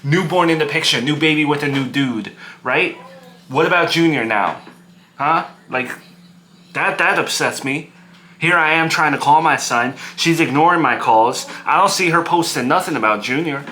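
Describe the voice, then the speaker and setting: A sharp, forceful voice from a man acting as a divorced young father who feels wronged. He is bitter, defensive and agitated, circling back on his own phrases and stumbling as he justifies himself. There is some noise behind him, as in a homemade drama skit. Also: a little high, moderate pace. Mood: indignant, resentful. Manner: agitated, repetitive, stammering over his words.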